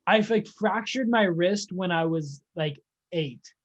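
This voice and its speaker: A young man interviewing in a casual, halting, informal way, peppering his talk with "like" and "dude". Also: sits high, muffled recording. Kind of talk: casual chat.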